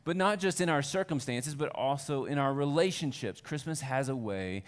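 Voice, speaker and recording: A man's voice: mid-range voice, lively intonation, normal speed, clean tone. Conversational man preaching a sermon. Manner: conversational, self-deprecating, rising to earnest fervor. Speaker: pastor. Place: church.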